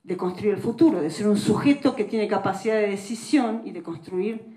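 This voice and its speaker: A woman's voice: mid-range voice, moderate pace, warm tone. A woman giving an academic public lecture, reflective, often adding little tag questions. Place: lecture hall. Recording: echoey hall recording.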